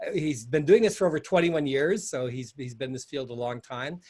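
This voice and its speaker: A man's voice: mid-range voice, fairly quick, a little mellow. A man hosting an online conference, introducing a speaker in a hesitant way with many fillers over a video call. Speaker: conference host.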